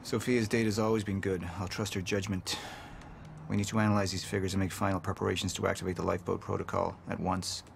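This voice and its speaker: Controlled executive, screen drama: deep, creaky, measured, authoritative. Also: male voice, level tone, a little hiss or hum. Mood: commanding, controlled. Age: 40s. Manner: measured, commanding, terse. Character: controlled, brooding male corporate executive giving orders. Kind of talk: live-action screen drama acting.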